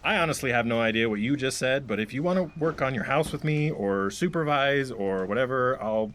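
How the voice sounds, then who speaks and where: A man's voice: mid-range voice, lively intonation, brisk, a bit bright, a little hiss or hum, natural voice. A man acting an easygoing, teasing handyman in a romantic comedy radio play, with dry delivery.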